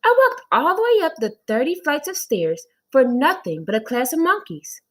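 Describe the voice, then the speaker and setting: A woman's voice: high-pitched, big pitch swings, moderate pace, pitched above the performer's own voice. A woman voicing a flustered, confused but nice female schoolteacher in a children's storybook, exclaiming with emphasis and disbelief. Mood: indignant.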